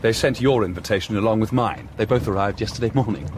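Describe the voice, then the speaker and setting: An actor playing a brash, cheeky French newspaper journalist in a period radio drama, with a blunt, theatrical, teasing manner. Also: male voice, lowish voice, quick pace, slight background noise, lightly acted.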